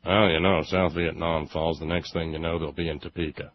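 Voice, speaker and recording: A man hosting a political call-in radio show solo, in a sardonic, mock-exasperated way with loose, emphatic, halting delivery and dull lo-fi radio sound. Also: sits low, flat delivery.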